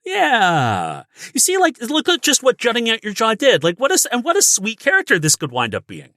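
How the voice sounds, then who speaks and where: A man's voice: very high voice, huge pitch swings, normal speed, pushed and bright, no room sound, clean studio sound. A man coaching character voices in casual podcast talk, encouraging and often checking in with "right?". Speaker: voice acting coach.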